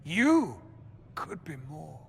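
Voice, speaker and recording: An actor playing a wounded, pious chancellor in a video game, fervent and halting, with a strained delivery. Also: male voice, a little high, sing-song, vocal fry, a little hiss or hum, heavily acted. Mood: pained, fervent. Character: wounded pious male chancellor, earnest and awed. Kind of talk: dramatic video game acting.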